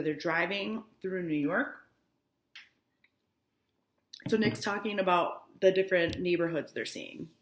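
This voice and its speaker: A woman's voice: on the low side, bright, forceful tone, roomy sound. A woman, a teacher reading a novel aloud and breaking off to explain it plainly. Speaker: high school English teacher.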